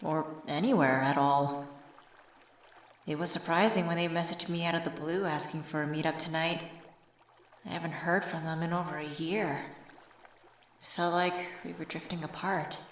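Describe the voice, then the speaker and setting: A woman's voice: deep voice, fairly even intonation, normal speed, pauses often, slight background noise, lightly acted. A young woman acting as a teasing, affectionate old friend in a casual ASMR comfort roleplay. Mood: wistful. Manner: playful, teasing, familiar slangy chat.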